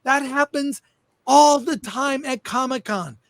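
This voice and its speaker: High pitched guy hosting a casual panel chat, eager storyteller, close dry sound. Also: takes it slowly, no echo. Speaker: talk show host.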